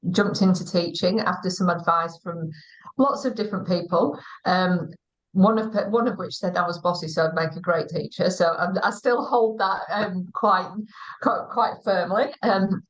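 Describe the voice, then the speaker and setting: Low-voiced English woman on a video call. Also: poor audio. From England. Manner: measured, unhurried storytelling with many "um"s. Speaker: guest, school director of teaching. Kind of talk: conversational livestream interview.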